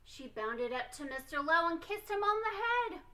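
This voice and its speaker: A woman reading a children's storybook aloud expressively, with theatrical, deliberate emphasis. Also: extremely high pitch, very animated, pushed and bright. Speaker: storytime reader.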